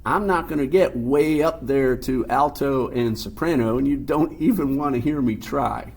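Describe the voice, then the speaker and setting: A man teaching a recorded physical science lesson, explaining terms patiently and step by step in his deep voice. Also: normal speed, a little mellow. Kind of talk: recorded classroom lecture.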